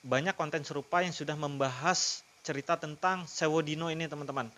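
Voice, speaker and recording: A man narrating a horror story in a slow, savouring read-aloud, with his own commentary to viewers. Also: a little high, fairly even intonation, a bit bright. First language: not Javanese; Javanese not fluent. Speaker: storytelling content creator. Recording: music clearly behind the voice. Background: background music.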